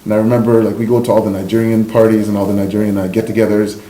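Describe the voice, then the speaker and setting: A man's voice: fairly low pitch, little pitch movement, racing, warm tone. A man with a Canadian accent telling personal stories casually and candidly as a podcast guest, in an informal conversational way. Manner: informal and candid, frequent "like" and "you know". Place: indoor room, in-person podcast recording. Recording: roomy echo, faint background noise.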